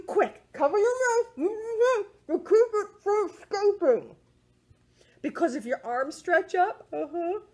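A woman's voice: very high-pitched, darkish tone. A middle-aged woman leading a children's storytime in a playful, sing-song way, recorded indoors on a device a little distance away. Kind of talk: children's storytime read-aloud and action songs.

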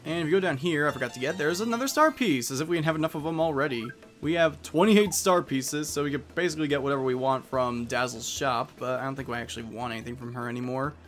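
A man's voice: medium pitch, quick pace, few pauses, fairly bright tone, soft background music. A man giving casual commentary as he plays through a game. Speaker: let's player.

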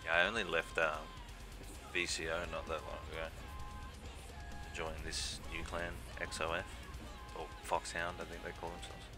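A man's voice: low voice, little pitch movement, moderate pace, pauses often. A man chatting casually as he streams a video game. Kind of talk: casual gaming chat. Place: indoors, voice over gameplay. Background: music.

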